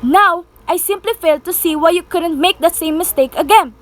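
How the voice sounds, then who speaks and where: Young woman acting anxious, demanding invalid, very high-pitched. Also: moderate pace. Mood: exasperated, demanding. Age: teenager. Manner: agitated, insistent, pleading. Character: anxious, demanding, sickly woman alone at home. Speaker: student actor. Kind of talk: amateur radio play acting.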